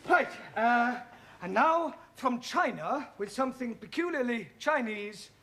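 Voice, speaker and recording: A man's voice: very high voice, expressive, measured pace, bright, forceful tone. A man with a British accent, playing a polite, jolly teacher who compères a school concert in a 1970s studio sitcom. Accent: British English.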